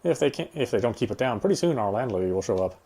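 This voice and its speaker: A man's voice: medium pitch, expressive, fast, mellow, slightly rough. A man reading game text aloud as a player, in the style of a let's play with casual commentary.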